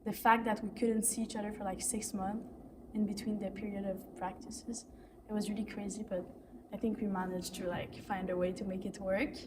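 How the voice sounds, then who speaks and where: Young Canadian woman, 18, reflective, mid pitch, off-mic. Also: level tone, brisk. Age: teens. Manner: reflective, frequent "like". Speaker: young actor interviewed. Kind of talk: casual interview answers. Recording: distant mic with background noise.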